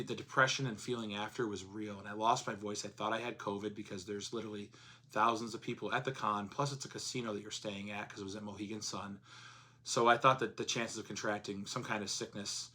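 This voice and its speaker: Man, hoarse, casual vlog talk, lowish pitch, bright forceful tone, rambling. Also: fairly even intonation, quick pace. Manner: rambling, chatty, frequent fillers. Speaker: comics vlogger.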